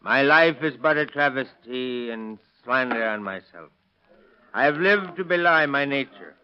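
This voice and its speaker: An actor playing a friendless, bitter murder suspect who drinks and puts on literary airs, effusive and mockingly polite in 1930s radio style. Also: male voice, mid-range voice, lively intonation, unhurried, natural voice. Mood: grandly reciting. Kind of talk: 1930s radio crime drama acting. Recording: dull lo-fi vintage radio recording.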